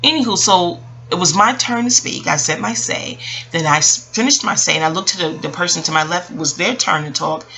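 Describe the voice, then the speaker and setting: A woman's voice: fairly low pitch, fairly quick, rough recording. A woman in her early forties telling a personal story in a casual, reflective, rambling way, often digressing and acting out what people said. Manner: rambling, digressive, animated retelling with acted-out quotes. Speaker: vlogger.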